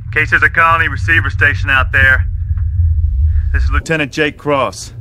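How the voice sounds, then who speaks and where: A man's voice: fairly high pitch, fairly even intonation, moderate pace, sharp tone, slight background noise, poor audio. An actor playing a cocky, stranded male lieutenant pilot, wry and weary, in a video game cutscene. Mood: grim, resigned.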